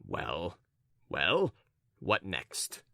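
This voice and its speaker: A man's voice: deep voice, big pitch swings, slow, creaky, fairly bright tone, no room sound, studio quality, pitched below the performer's own voice, heavily acted. A man reading an audiobook, voicing an irritable, impatient young man who answers curtly and mutters grudgingly. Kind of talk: audiobook character dialogue.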